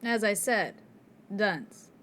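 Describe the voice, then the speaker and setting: A woman's voice: medium pitch, lively intonation, extremely slow, a bit bright, slight background noise. A woman playing a prim young landlady, dry and dismissive, in a comedy radio play. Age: young adult.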